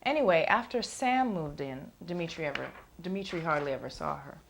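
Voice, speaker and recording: A woman's voice: sits low, animated, normal speed, creaky, background noise. A woman playing a weary, defensive woman answering questions in a blunt, dismissive, conversational way. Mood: weary, candid. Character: defensive, weary woman under questioning about failed relationship.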